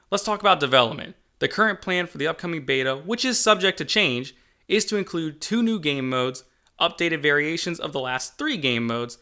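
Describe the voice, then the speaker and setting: A man's voice: on the high side, pushed and bright. A man hosting as a game developer, giving upbeat scripted video narration with an enthusiastic, jokey manner. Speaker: game developer and channel host.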